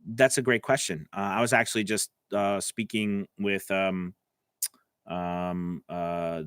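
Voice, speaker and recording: A man's voice: deep voice, a bit bright, dry recording. A man coaching voice actors on a live stream, answering viewer comments in a casual, chatty way from his home studio. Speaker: voice-over coach.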